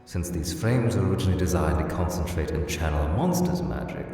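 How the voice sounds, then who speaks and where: Guy doing a tired formal skeleton scientist for a comic dub, real deep, huge echo, stern lecture-y delivery. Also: animated, brisk, warm tone. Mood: lecturing. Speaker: voice actor in a fan comic dub. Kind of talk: dramatic comic dub acting. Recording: very reverberant hall, distant, music behind.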